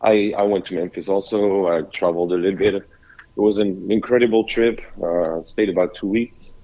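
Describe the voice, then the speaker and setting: A man's voice: sits low, steady tone, normal speed, warm tone, dull audio. French man, hesitant guest. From France. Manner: hesitant, many "uh"s and restarts. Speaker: restaurant owner, guest. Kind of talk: casual interview.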